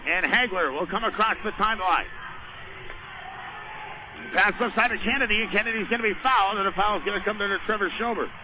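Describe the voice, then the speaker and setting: Guy doing live radio hoops play-by-play, really high voice, short choppy calls, noisy game behind him. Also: fairly quick, pauses often. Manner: clipped running descriptions of play. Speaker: play-by-play basketball announcer. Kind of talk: live radio sports commentary. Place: indoor high school basketball game.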